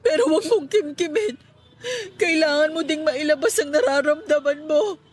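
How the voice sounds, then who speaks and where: A woman's voice: sits high, moderate pace, natural voice. An actress playing a grieving but steadfast Filipino wife in a radio drama, consoling her husband in a tearful, quavering voice. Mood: consoling through tears. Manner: emotional, consoling delivery.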